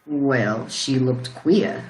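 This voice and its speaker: Woman acting as country farmer, low pitch, rambling folksy delivery, radio play, roomy echo. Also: extremely slow, a little mellow, exaggerated voice. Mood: folksy, puzzled. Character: plain-spoken rural male farmer recounting a shock. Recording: roomy, echoing sound.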